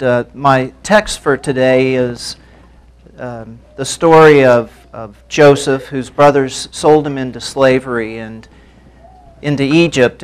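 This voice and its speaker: A middle-aged man preaches with a smooth, resonant voice at a middle pitch. He speaks in an earnest, measured way, pausing now and then. He sits close to a lapel mic in a church hall. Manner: earnest, measured, slightly hesitant. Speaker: guest speaker. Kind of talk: sermon.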